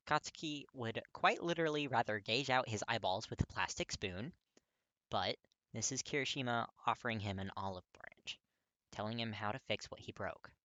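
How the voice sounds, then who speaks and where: A man reading fiction aloud as an expressive audiobook narrator. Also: fairly low pitch, dry recording. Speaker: podfic narrator.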